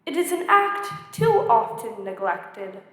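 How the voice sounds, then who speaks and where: Woman reading as a lonely storybook fox, high and lilting. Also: big pitch swings, slow pace. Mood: earnest, wistful. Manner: earnest, gently dramatic storybook delivery. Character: lonely wistful fox longing to be tamed. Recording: echoey hall with long reverb.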